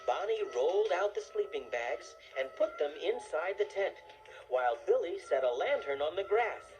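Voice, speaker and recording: A woman reading a scripted children's story as narrator, in an expressive storytelling manner. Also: lowish voice, moderate pace, faint music behind.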